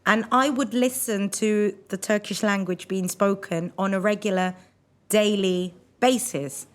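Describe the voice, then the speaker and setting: A woman from Cyprus hosting a podcast, asking questions in a casual interview and encouraging her guest with soft backchannels. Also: mid-range voice, fairly even intonation, a little slow. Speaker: podcast host.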